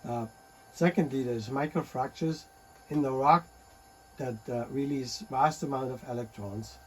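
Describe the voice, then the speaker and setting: A man presenting a technical talk on radio and earthquakes, pausing often with fillers and restarts. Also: medium pitch, slight background noise, rough recording. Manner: halting, many fillers and restarts.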